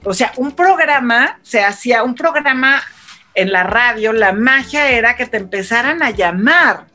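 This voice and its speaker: A woman's voice: a little high, a little slow, faint music behind. A woman radio broadcaster in a casual panel chat, telling stories and acting out quoted remarks. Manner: animated storyteller, acts out quoted remarks.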